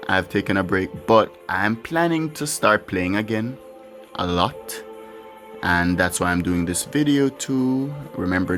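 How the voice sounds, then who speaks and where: A man's voice: low-pitched, fairly bright tone. A man giving casual, rambling gameplay commentary. He swears now and then and talks candidly as he plays. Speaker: gaming channel host. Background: his own music playing faintly.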